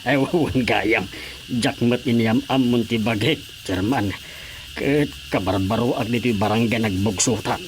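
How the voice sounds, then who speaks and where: A man playing a sheepish aging playboy villager in an Ilocano radio comedy drama, eagerly repeating himself as he haltingly confesses. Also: mid-range voice, noisy background, lightly acted.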